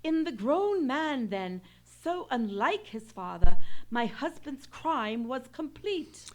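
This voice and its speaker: A woman's voice: sits high, very animated, takes it slowly, exaggerated voice. A woman playing an anxious, eloquent English aristocratic lady in an old-time radio play, speaking in formal, theatrical period diction. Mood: bitter, emphatic.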